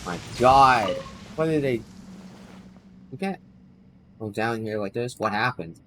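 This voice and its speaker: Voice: medium pitch, animated, slow, fairly bright tone, slight background noise. A game streamer giving casual, rambling commentary while playing, often exclaiming in mild bewilderment. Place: indoors, live stream with game music behind the voice.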